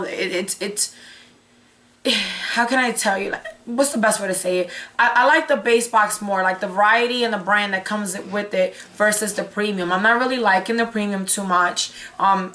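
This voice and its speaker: Hoarse, raspy young woman chatting casually. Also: mid-range voice, a bit bright, slight background noise. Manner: chatty, self-interrupting, thinking aloud. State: hoarse, losing her voice. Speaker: beauty vlogger host. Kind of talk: casual unboxing chat. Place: small indoor room with curtain backdrop.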